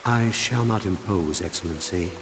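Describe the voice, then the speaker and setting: Actor as humble, low-voiced monk, echoing. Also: male voice, moderate pace, lightly acted. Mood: polite. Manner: formal, restrained, deferential. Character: humble, polite Franciscan monk, earnest and uneasy. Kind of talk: dramatic video game acting. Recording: echoey cathedral reverb, rain noise behind.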